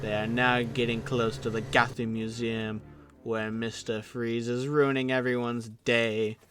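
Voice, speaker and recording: A man's voice: mid-range voice, flat delivery, unhurried. A man narrating an audio drama in a theatrical announcer style, slipping into offhand comic asides. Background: music.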